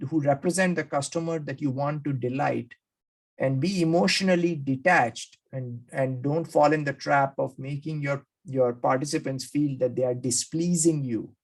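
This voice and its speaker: A man hosting an interview, enthusiastically recapping his takeaways and acting out quoted speakers. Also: a little high. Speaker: podcast host.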